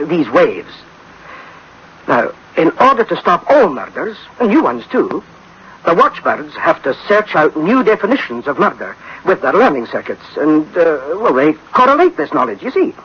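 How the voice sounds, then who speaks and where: A man playing a defensive, excitable technician in a radio drama, husky and insistent as he explains. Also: fairly high pitch, full character voice. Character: defensive, excitable male technician explaining away his machines' faults. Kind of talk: 1960s-style radio drama acting. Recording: dull old radio recording.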